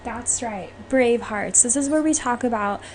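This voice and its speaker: A woman's voice: on the high side, normal speed. A woman hosting a podcast, talking casually and emphatically as she gives her opinions. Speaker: podcast host. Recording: clearly noisy background.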